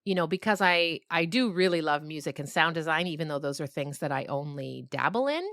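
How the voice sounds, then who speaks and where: Bright-voiced woman, low-pitched and close. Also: lively intonation, brisk, dry recording. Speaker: novelist and podcast creator being interviewed. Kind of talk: reflective conversational interview.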